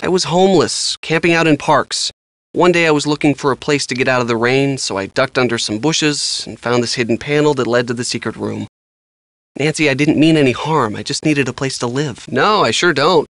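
A man's voice: mid-range voice, fairly bright tone, no room sound, lightly acted. A man voicing a casual, evasive handyman in a video game, with a breezy, informal manner. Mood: apologetic. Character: casual, evasive handyman living secretly in a mansion. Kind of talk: video game character dialogue.

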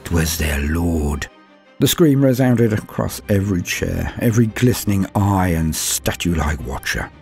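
Deep-voiced man narrating a grim horror tale. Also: expressive, creaky. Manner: grave, measured first-person storytelling with hushed low drops and rising swells. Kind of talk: dramatic horror story reading. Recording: soft music bed underneath.

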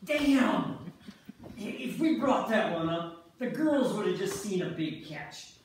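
A man's voice: high-pitched, lively intonation, takes it slowly, heavily acted. A man playing a grumbling, cautious ice-fishing husband in an amateur stage reading. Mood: exasperated. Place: church sanctuary, live stage reading. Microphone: distant audience camera. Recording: noisy echoey church hall from far off.

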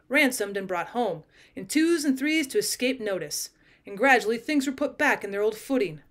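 High-voiced woman reading a story aloud. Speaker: teacher reading audiobook. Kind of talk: scripted story narration, read aloud.

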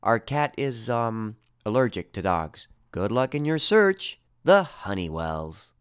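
A man's voice: on the low side, sing-song, slow, clean tone, no echo, clean studio sound. A man voicing a polite, apologetic cat owner in a storybook read-aloud. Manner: apologetic, hesitant.

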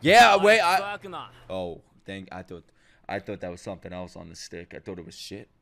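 A young man reacting to an anime from his home streaming setup, his voice leaping up and down as he gets worked up. He swears freely and bursts into shouts and laughter. He sits close to a desk mic on a boom arm. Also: wildly swooping pitch, moderate pace. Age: young adult. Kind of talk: casual reaction commentary. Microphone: boom-mounted desk mic, wearing headphones.

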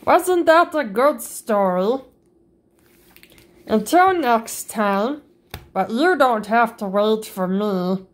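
Woman storybook reader, very slow and sing-song, fairly high voice, springy on the sound words, read-aloud for kids. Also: lively intonation.

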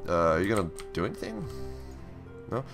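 Casting: lowish, lively, slightly raspy male host narrating a game playthrough over faint game music. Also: brisk. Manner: chatty, reads on-screen text aloud, upbeat. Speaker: gaming channel host. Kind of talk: casual let's play commentary.

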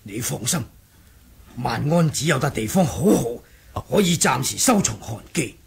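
A man's voice: mid-range voice, lively intonation, moderate pace, creaky. A man with a Hong Kong accent playing a bold, crafty warrior monk in a Cantonese radio drama, teasing and commanding. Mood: scheming.

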